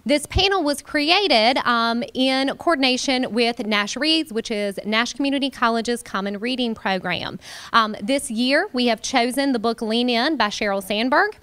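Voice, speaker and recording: Clear-voiced woman projecting a teacher voice. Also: fairly high pitch, unhurried, fairly bright tone. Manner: projected teacher voice, many ums. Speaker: college instructor opening a panel. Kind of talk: event welcome speech. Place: warm college room with an audience.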